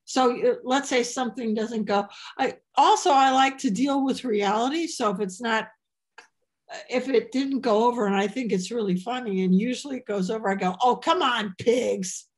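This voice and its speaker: A woman comedian talking as an interview guest in a playful, halting way, with many restarts. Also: on the high side. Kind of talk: casual interview chat.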